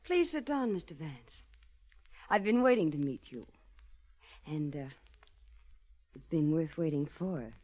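An actress playing a glamorous, seductive lady racketeer in a 1940s radio drama, speaking in a sultry, coy, deliberate way. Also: sits low, expressive, warm tone. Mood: coy, confident. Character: glamorous, seductive, self-possessed female racketeer. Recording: dull, lo-fi 1940s radio recording.

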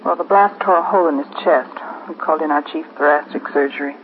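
A woman's voice: low-pitched, fast, natural voice. An actress playing a composed woman hospital doctor in a 1950s radio police drama, clipped and matter-of-fact. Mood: grave, matter-of-fact. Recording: muffled, noisy 1950s radio, phone-thin.

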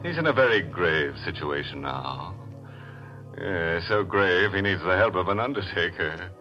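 An actor playing a gleefully morbid, mock-solemn horror host in the style of 1940s radio. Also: male voice, sits low, lively intonation, measured pace, sharp tone, soft background music, exaggerated voice. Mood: mock pity. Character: ghoulish, gleeful male horror host cracking morbid jokes. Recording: dull old radio recording.

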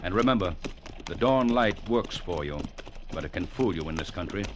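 An actor playing a stern cavalry captain in an old-time radio drama, giving orders in a clipped, commanding way. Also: male voice, low-pitched, expressive, normal speed. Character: stern, curt male cavalry captain briefing a subordinate. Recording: noisy 1950s radio recording.

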